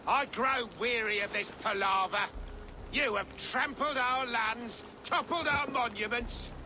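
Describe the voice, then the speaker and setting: A proud medieval king in a game drama, very high in pitch and slow, declaiming with haughty scorn for pagans. Clear outdoor noise surrounds his voice. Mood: scornful. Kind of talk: dramatic game cutscene acting. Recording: noisy outdoor ambience behind.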